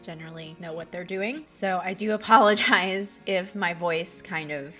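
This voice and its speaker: A woman's voice: fairly low pitch, moderate pace, pushed and bright, soft background music. A young woman talking candidly in a casual voiceover, her voice a little off from a cold she is getting over. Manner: candid, rambling, thinking aloud. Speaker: art vlogger.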